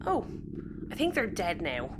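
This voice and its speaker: A woman's voice: fairly low pitch, wildly swooping pitch, a little slow, loud music behind the voice. A woman playing a motherly, blithe spaceship crew member in a sci-fi audio comedy.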